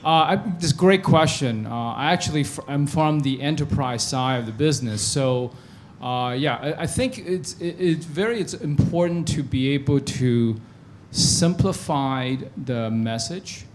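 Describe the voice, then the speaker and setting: A man gives advice on a stage panel in a friendly, explanatory way, often ending points with "right?". His voice is at an ordinary middle pitch. A faint rumble sits behind him. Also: lively intonation, unhurried, hardly any pauses. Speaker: panelist from enterprise tech.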